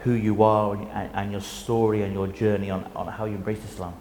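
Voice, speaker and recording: A male talk show host who talks quickly and smoothly, his voice sitting a bit low. He opens with Arabic greetings and asks questions in a fluent, steady way. The studio sound has a long echo and a faint hiss underneath.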